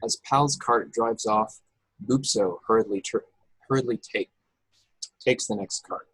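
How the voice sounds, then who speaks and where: A man with a husky voice reading stage directions as narrator of a script table read over a video call. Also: fairly low pitch, unhurried, a bit bright, no echo, rough recording. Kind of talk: scripted narration with occasional stumbles.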